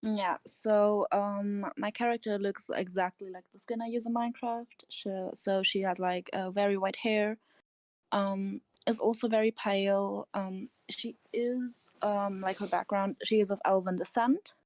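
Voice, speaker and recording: A woman with a German accent, answering interview questions in a hesitant, tired and slightly nervous way, with many pauses and ums. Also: mid-range voice, steady tone, a little mellow, dry recording. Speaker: interview guest. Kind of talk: casual interview over voice chat.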